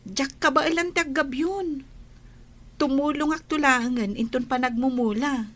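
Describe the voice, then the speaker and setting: High-voiced, cheerful young Ilocano woman. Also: moderate pace, a bit bright. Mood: friendly, eager. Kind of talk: Ilocano radio drama acting.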